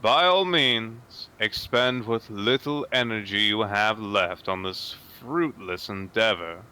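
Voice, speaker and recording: A man voicing a cold, haughty, wrathful god who speaks in a slow, pompous, menacing manner. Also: on the low side, pushed and bright, clear, resonant tone. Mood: contemptuous anger.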